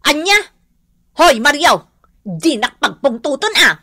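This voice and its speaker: A woman's voice: high voice, sing-song, takes it slowly, pushed and bright, full character voice. A woman playing a whiny, indignant, debt-ridden married daughter in an Ilocano-accented radio comedy drama, exclaiming melodramatically.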